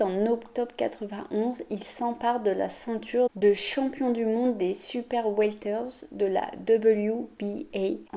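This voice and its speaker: Warm-voiced woman telling a story. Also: mid-range voice. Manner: chatty, addresses viewers directly. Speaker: YouTuber. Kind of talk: storytelling vlog.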